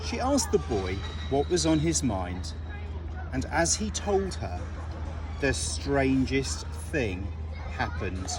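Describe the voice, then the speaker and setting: A man reading a children's storybook aloud in an expressive, deliberate way, with dramatic pauses. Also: medium pitch, a crowd in the background, low-quality recording.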